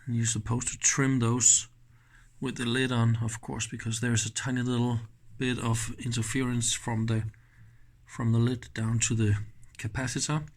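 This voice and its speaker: A man's voice: mid-range voice, little pitch movement, moderate pace. A man gives a casual, hands-on technical demonstration in an informal, enthusiastic manner. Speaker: host of an electronics teardown channel.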